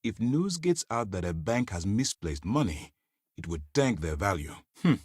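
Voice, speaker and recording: Stern space-fleet commander, low voice, widely swinging intonation, principled, formal, authoritative, measured, video game dialogue. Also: male voice, very animated, darkish tone, slightly rough, no room sound, studio quality. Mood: cool, appraising. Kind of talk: dramatic game character dialogue.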